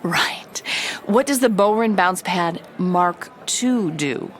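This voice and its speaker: An actress playing a confident investigative reporter hosting a true-crime podcast, with theatrical, knowing scripted delivery. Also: female voice, lowish voice, animated, takes it slowly, a little hiss or hum. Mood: politely curious. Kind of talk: scripted dramatic podcast narration and interviewing.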